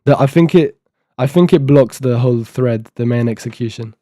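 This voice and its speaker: A man's voice: medium pitch, moderate pace, mellow, no room sound, clean studio sound. Eighteen-year-old man mumbling through code. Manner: mumbling, thinking aloud, reading docs half to himself. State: sore throat. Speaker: live-coding streamer. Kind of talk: casual live-stream talk while coding.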